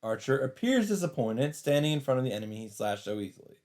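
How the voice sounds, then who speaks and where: A man's voice: mid-range voice, very animated, fast, darkish tone. Man reading a visual novel aloud. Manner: casual, sweary asides. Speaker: let's player.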